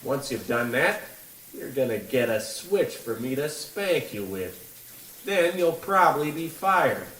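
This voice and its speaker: Bullying ball coach, male actor: scolding, mid-pitched, comedy radio play, off-mic in an echoey room. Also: sing-song, slow pace, fairly bright tone. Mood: stern, scolding. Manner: stern, scolding. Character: gruff, bullying small-town baseball coach. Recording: distant, echoey room with faint noise.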